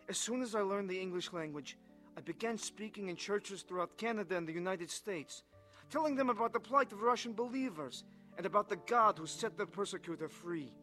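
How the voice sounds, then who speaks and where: A man's voice: high-pitched, fairly bright tone. An actor playing an earnest, haunted young Russian defector who tells his story in a dramatized testimony. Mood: earnest conviction. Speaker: first-person narrator of a dramatized testimony. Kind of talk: dramatized scripted narration.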